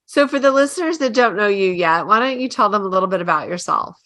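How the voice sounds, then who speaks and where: A woman's voice: medium pitch, animated, fast, pushed and bright. Upbeat woman podcast host. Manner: upbeat, enthusiastic. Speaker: podcast host, health coach. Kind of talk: podcast hosting.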